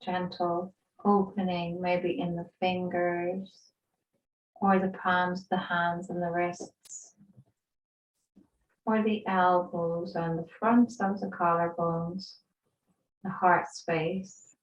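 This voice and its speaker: A woman yoga instructor leading a guided relaxation in a soothing, gentle, unhurried way with pauses. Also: lowish voice, level tone, dull audio. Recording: roomy echo, distant microphone.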